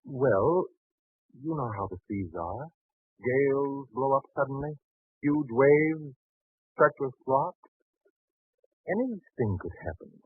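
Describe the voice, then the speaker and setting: Merchant, male: careful, troubled, hesitant, slightly rough voice, dry tinny vintage radio sound. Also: medium pitch, expressive, slow, pauses often, no room sound. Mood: anxious. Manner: hesitant, earnest. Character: cautious, worried male merchant and anxious father. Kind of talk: 1940s radio drama acting. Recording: tinny, band-limited 1940s radio broadcast.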